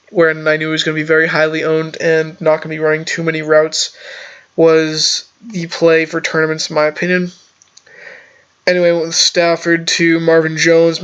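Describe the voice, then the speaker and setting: Young man, sick voice, very monotone, smooth tone, casual football lineup review, dull lo-fi audio. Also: on the high side. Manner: analytical, rambling with restarts. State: sick, slightly off voice. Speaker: fantasy football content creator.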